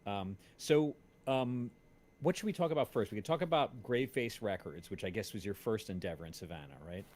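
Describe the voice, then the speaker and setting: A man's voice: lowish voice, lively intonation, fairly quick, darkish tone. A man hosting a casual, conversational interview, asking the questions. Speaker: interviewer and show host.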